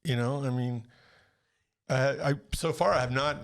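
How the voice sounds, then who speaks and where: A man's voice: medium pitch, a little slow. Wry rambling podcast guy. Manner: rambling, full of restarts and wry jabs. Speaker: podcast co-host. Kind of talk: casual chat over bourbon.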